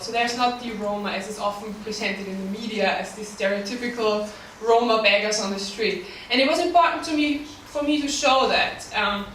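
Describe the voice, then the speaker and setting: A young Austrian-accented woman with a somewhat high voice gives an earnest, prepared talk, pausing on frequent ums. Her tone is bright and clear. The recording is distant and very echoey, with faint room ambience. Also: slight background noise. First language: German. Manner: earnest with light laughs and many ums. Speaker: staff writer.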